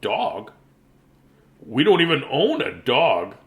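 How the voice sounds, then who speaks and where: A man voicing a brisk, exasperated father from a picture book, speaking emphatically. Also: mid-range voice, sing-song.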